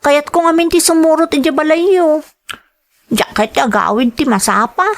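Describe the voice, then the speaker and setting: A woman's voice: sits high, normal speed. A woman playing a sheltered, obedient young student from a rich family, with an Ilocano Filipino accent, in an audio drama. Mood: eager, wistful.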